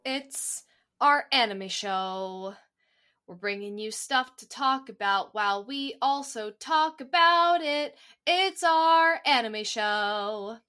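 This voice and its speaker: A woman's voice: a little high, animated, slow, pushed and bright, clear, resonant tone. A woman co-hosting a podcast in casual chat, playful and giggly. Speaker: podcast co-host.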